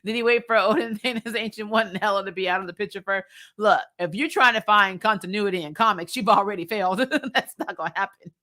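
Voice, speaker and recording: A woman's voice: mid-range voice, quick pace, bright, forceful tone. A woman hosting a fan recap show, chatting casually and bluntly about TV with loose, informal phrasing and some swearing. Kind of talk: casual livestream chat about TV shows.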